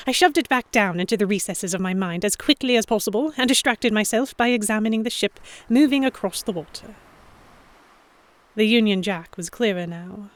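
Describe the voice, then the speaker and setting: A woman's voice: mid-range voice, lightly acted. Anxious noblewoman narrator, acted by a woman. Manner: fretful, tentative, introspective. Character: anxious young noblewoman heroine narrating her captivity among pirates. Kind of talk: first-person scripted narration in audio drama.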